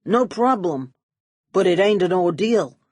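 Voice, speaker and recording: A woman's voice: fairly low pitch, expressive, unhurried, a little mellow, no echo, studio quality. A woman voicing a vain, cigar-chomping male gangster boss in an audiobook, with brash, clipped tough-guy slang. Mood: brash, bossy.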